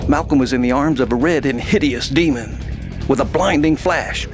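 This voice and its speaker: Male fantasy storyteller and host, medium register with fry, light music underneath. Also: mid-range voice, moderate pace. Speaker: host and narrator. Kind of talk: scripted fantasy story narration.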